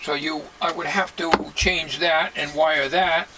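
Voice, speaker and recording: An older man talking casually as he works at a bench in a home workshop, plain-spoken and musing, with halting delivery. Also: fairly high pitch, fairly even intonation. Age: 60s or older. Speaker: hobbyist radio restorer. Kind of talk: casual talking while working. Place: cluttered home electronics workshop, indoors. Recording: noisy home workshop recording.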